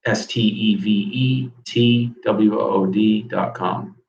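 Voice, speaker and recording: Frank male guest coach, lower voice, echoey, lo-fi video call. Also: steady tone, takes it slowly, vocal fry, a little mellow, slight background noise. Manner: frank, conversational, repeats words as he talks. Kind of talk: casual interview chat.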